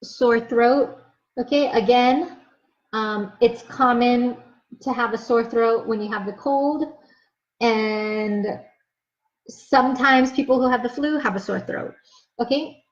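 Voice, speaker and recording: A woman's voice: fairly high pitch, slow, pauses often. A young woman teaching an English lesson, explaining words patiently and checking in with "okay?" after each point. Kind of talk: slow, clear ESL lesson explanation. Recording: slightly dull, lo-fi sound.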